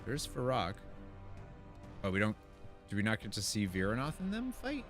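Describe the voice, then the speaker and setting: Bright, animated male game streamer. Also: lowish voice, normal speed. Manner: informal, slangy, expressive. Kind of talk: casual gameplay chat, reading quest text aloud. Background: game music.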